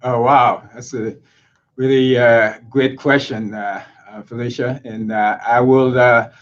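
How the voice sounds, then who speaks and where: A cardiologist originally from Haiti, speaking in an interview and pausing often with filler sounds. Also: male voice, mid-range voice, steady tone, lo-fi sound. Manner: hesitant, many filler sounds. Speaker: cardiologist guest. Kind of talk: interview conversation.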